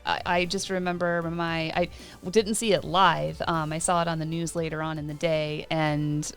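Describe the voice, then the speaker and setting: A woman's voice: low voice, level tone, normal speed, faint music behind. A woman in her forties co-hosting a podcast in casual, chatty talk, laughing often between points. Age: 40s. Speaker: podcast co-host.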